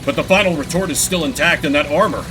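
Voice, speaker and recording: A young man streaming a video game, giving excitable commentary and swearing casually, over game music. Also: fairly high pitch, fast talker, noisy background. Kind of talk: gameplay commentary.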